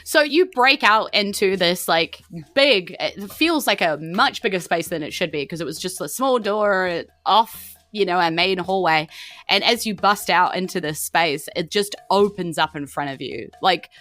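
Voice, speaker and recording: A woman's voice: lowish voice, animated, moderate pace, fairly bright tone, dry recording, soft background music. A woman with a New Zealand accent improvising tabletop game narration as game master, informal and conversational. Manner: informal, conversational, vivid sound effects.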